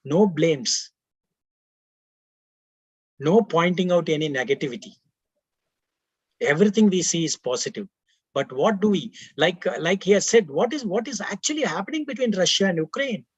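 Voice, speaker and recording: A man's voice: high-pitched, lively intonation, moderate pace, long pauses, husky, no room sound. A man with an Indian accent whose first language is Tamil, speaking as a webinar panelist with rhetorical questions and frequent restarts over a video call. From Karnataka, India. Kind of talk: earnest panel talk.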